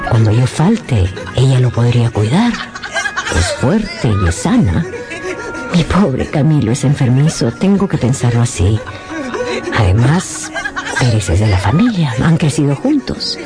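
A woman's voice: extremely low pitch, very animated, measured pace, over music, exaggerated voice. An actress playing an anxious, controlling widowed mother in a radio drama, speaking in a fretful, emotive way. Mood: pensive, worried.

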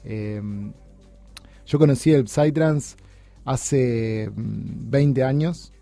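Un hombre uruguayo de treinta y tantos, invitado en una radio, habla con muchas pausas, titubeos y repeticiones. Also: male voice, medium pitch, gravelly, dark tone, soft background music. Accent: Uruguayan Spanish. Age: 30s. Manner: halting, many restarts and fillers. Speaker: guest. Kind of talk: casual interview chat. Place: radio studio.

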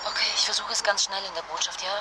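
Casting: woman to play fragile, impulsive young mother stranded abroad, halting colloquial speech, mid pitch, radio drama realism over noisy ambience. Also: steady tone, close to an everyday voice. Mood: frazzled, exhausted. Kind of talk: naturalistic radio drama acting in phone calls. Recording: noisy ambience with vehicle sounds.

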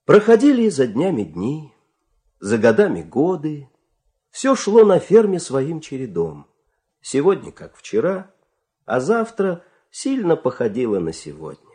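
A man narrating a fairy-tale audio play in a theatrical, expressive way, with dramatic pauses. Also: a little high. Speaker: storyteller, narrator.

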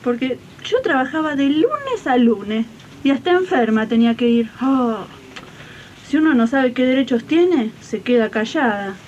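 A woman's voice: big pitch swings, unhurried, a little mellow. A woman playing an agreeable, practical Argentine domestic worker in a 1980s radio drama, with a colloquial way of talking. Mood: concerned. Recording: muffled archive recording, faint rumble.

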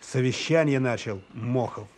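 A man reading scripted, documentary-like narration in a formal, measured manner. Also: mid-range voice, background noise.

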